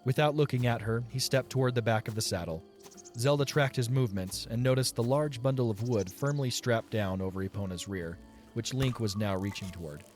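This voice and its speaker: A man's voice: mid-range voice, a little fast, faint music behind. A man reading scripted story narration as the narrator of an audio drama.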